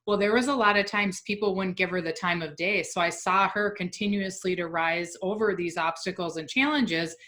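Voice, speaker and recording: Bright upbeat woman host. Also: on the low side, steady tone. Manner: upbeat, agreeable, quick. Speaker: podcast host. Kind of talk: interview conversation.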